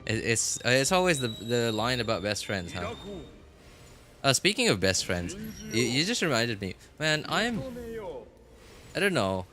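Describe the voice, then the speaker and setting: A man chatting casually as a game livestreamer, rambling and halting as he thinks aloud. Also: mid-range voice. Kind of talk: casual chat to viewers while gaming. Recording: music playing behind the voice. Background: background music.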